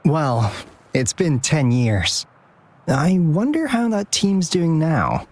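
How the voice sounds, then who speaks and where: An actor playing an earnest, hesitant young team leader in a video game, speaking in a measured way. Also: mid-range voice, sing-song, darkish tone. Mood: wistful.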